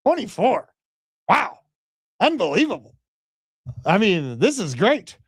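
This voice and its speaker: A man in his thirties hosting a livestream, giving brash, animated market commentary and chatting with live viewers. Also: high-pitched, takes it slowly, husky, no echo, clean studio sound. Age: 30s. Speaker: crypto livestream host.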